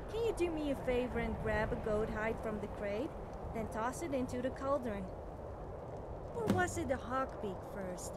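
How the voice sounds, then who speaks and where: A woman's voice: high-pitched, heavy background noise. A woman voicing an eager, scatterbrained young would-be witch in a video game, chatty and self-doubting. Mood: flustered, unsure. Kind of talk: video game character dialogue.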